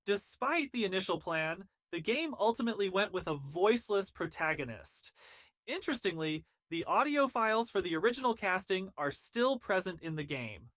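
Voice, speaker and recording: A woman's voice: medium pitch, very animated, moderate pace, a bit bright, no echo, studio quality. A woman reading scripted narration for a gaming video, with polished, articulate phrasing. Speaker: video narrator presenting game audio.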